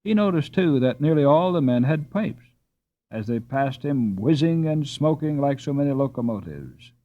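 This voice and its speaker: A man reading a children's story aloud as an audiobook narrator, with an expressive and deliberate storytelling delivery. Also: mid-range voice, phone-quality sound. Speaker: audiobook reader.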